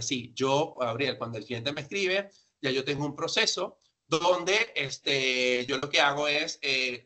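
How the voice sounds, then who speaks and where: Man, sales trainer, bright forceful voice, medium pitch, informal teaching, emphatic, rhetorical questions. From grew up outside Peru, now lives there. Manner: conversational, emphatic, asks and answers his own questions. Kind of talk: informal instructive presentation to entrepreneurs.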